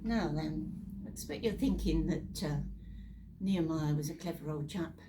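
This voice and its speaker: A woman's voice: deep voice, moderate pace, background noise. A British woman telling Bible stories in a chatty, informal way, with theatrical asides and rhetorical questions. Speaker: storyteller.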